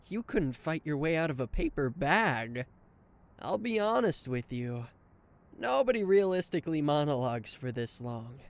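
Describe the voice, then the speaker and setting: A man's voice: a little high, very animated, normal speed. A man voicing a smug male anti-hero villain who gloats and teases a captured hero in an ASMR roleplay, with a mocking, flirtatious drawl. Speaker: voice actor.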